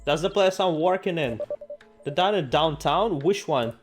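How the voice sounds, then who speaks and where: A man's voice: on the high side, animated, normal speed. A man hosting a game playthrough, reading aloud and reacting in a jokey, theatrical, exclamatory way. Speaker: gaming video host. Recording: music behind the voice. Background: game music.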